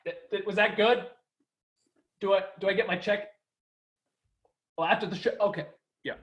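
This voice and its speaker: A man's voice: high-pitched, normal speed, muffled recording. A man playing a cocky superhero prince in a stage play, boastful and showy. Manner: boastful, lively, salesy.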